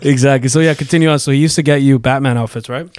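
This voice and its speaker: A man's voice: mid-range voice, fairly even intonation, racing, a little mellow, clean tone, no room sound, studio quality. Rambling, profane male podcast host. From Canada. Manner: rambling, profane, many fillers. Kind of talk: casual chat.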